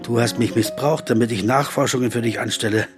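A man's voice: mid-range voice, steady tone, fairly quick. Guy doing a jazz pianist, earnest, kinda wry, music under it. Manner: earnest, sometimes angry. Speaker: narrator and lead. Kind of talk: radio drama acting. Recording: music bed behind voice.

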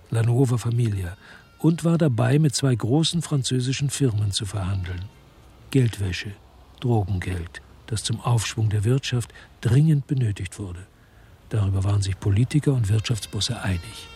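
An actor playing a weary, dogged French detective who narrates his noir radio crime story in a measured, laconic way. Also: medium pitch, mellow, slightly rough. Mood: brooding. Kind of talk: first-person narration in a noir radio drama.

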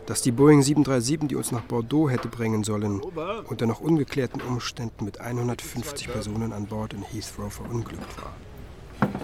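A man acting a reflective musicologist who recalls the past in measured, literary radio drama narration. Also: medium pitch, a crowd in the background, natural voice.

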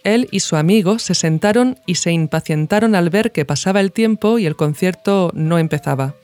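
A woman's voice: fairly low pitch, normal speed, darkish tone, soft background music. A woman from reading scripted documentary narration as the host of an audio podcast.

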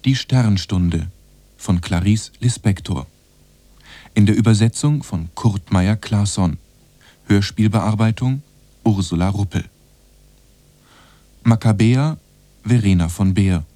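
A man's voice: fairly low pitch, slow, pauses often, warm tone, no echo. A man giving a formal, scripted announcement of radio play credits.

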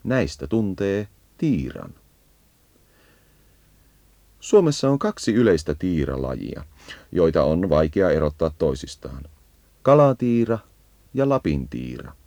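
A man's voice: deep voice, very animated, long pauses, a little mellow, no room sound. A man narrating a scripted educational birdsong guide, leading the listener as if on a field walk.

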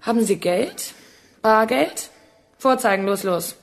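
A woman's voice: on the high side, takes it slowly. A woman playing a cold, commanding villain in a radio play, giving curt, taunting orders. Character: cold, commanding female villain running a deadly card game.

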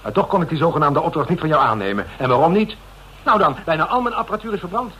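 An actor playing a streetwise, defensive suspect in a Dutch radio drama, talking in a colloquial, sardonic way that turns hesitant when cornered. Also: male voice, fairly high pitch, animated, fast talker, fairly bright tone, husky. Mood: defensive, evasive. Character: cynical, evasive male suspect, streetwise and defensive. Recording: noisy old radio drama recording.

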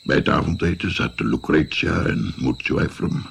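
Deep, gravelly old grandfather storyteller. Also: fairly bright tone, a little hiss or hum, heavily acted. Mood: reflective. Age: older. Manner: unhurried, reflective storytelling. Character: old grandfather recounting his youthful journey, reflective. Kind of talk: radio drama narration.

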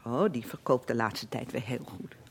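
A woman's voice: a bass voice, normal speed, vocal fry, slight background noise. An actress playing a defensive, uncomprehending middle-aged mother who chatters, scolds and justifies herself, in a Dutch radio play. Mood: evasive, placating. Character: defensive, nagging, uncomprehending mother of a troubled son.

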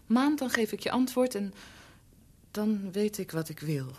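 Breathy, hesitant young woman. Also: mid-range voice, expressive, measured pace, a little mellow. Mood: hesitant, promising. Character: devoted, hesitant young woman, anxious for her master. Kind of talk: radio drama acting.

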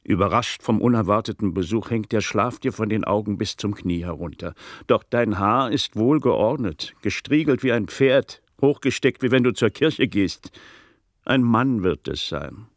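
Sardonic low-voiced scholar, dry studio sound. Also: male voice, expressive, normal speed, no echo. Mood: grumpy, mocking. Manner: sardonic, florid, commanding. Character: grumpy, eloquent scholar-seer, sardonic and imperious. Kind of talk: German radio crime play acting.

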